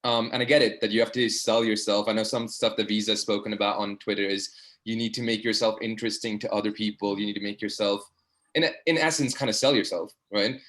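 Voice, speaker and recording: A man's voice: fairly low pitch, steady tone, quick pace, a bit bright. Earnest young man asking a rambling question. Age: young adult. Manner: earnest, rambling with many fillers. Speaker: audience participant, medical student. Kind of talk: online discussion question.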